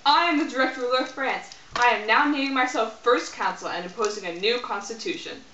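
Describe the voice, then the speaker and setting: A woman's voice: on the high side, a little fast, bright, forceful tone, echoey room, far from the mic. A woman playing a proud, commanding male emperor in an amateur school puppet show. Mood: imperious. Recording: dull, faintly noisy amateur recording.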